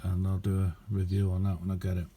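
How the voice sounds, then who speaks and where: A middle-aged man talks in a very mellow, fairly even voice. He rambles casually and modestly, like a hobbyist showing something on camera. There is faint noise behind him in a small indoor room. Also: low-pitched, fast. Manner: rambling, understated, self-deprecating. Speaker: amateur radio hobbyist showing his kit. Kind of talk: casual hands-on video chat.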